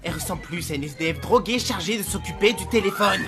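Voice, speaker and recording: A woman's voice: on the low side, fast talker, music in the background, close to an everyday voice. A woman voicing an uptight, exasperated male demon husband in a cartoon dub, prim and indignant. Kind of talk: animated cartoon dubbing.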